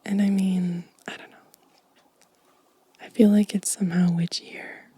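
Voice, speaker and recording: A woman whispering gently and softly in an ASMR show-and-tell style, breathy and unhurried. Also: mid-range voice, flat delivery. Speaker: ASMR video creator.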